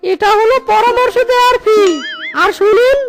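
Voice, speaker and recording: A man voicing a mischievous, grumbling cartoon troublemaker with a cheeky, taunting manner and a pitched-up voice. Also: steady tone, normal speed, over loud music. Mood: cheeky, salesmanlike.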